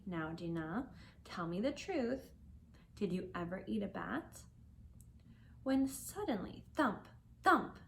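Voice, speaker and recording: A woman reading a children's story aloud as a teacher would, with expressive, deliberate phrasing for learners. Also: on the high side, a little hiss or hum, low-quality recording.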